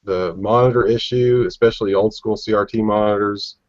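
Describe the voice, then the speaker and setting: A man's voice: fairly low pitch, steady tone, brisk, darkish tone, no room sound. A man chatting casually and informally as he leads a panel discussion on a video call, in folksy phrasing. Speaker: collector panelist leading discussion. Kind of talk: casual chat. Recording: dull lo-fi video-call audio.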